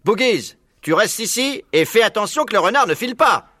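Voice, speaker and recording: A man voicing a furious, half-deaf, skinny villainous farmer in a children's storybook, shouting and bossing people about. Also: high voice, expressive, a little fast, no echo, studio quality, voice pushed up, exaggerated voice. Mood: bossy. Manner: shouting, bossy, commanding.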